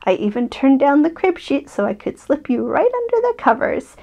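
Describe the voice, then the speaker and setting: A loving mother polar bear from a children's storybook, played by a woman reading aloud. She speaks tenderly and gently, in a fairly high voice, as if telling her cub a bedtime story. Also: very animated, normal speed, gravelly, full character voice.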